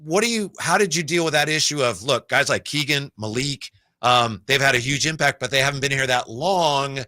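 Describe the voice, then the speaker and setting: Very bright, forward male radio host. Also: medium pitch, expressive, a little fast, no room sound, studio quality. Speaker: sports radio co-host, interviewer. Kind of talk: casual sports talk interview.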